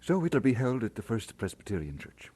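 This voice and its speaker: An actor playing an earnest, modest Scottish minister in an audio drama, with a husky voice and expressive delivery. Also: male voice, mid-range voice, fairly quick.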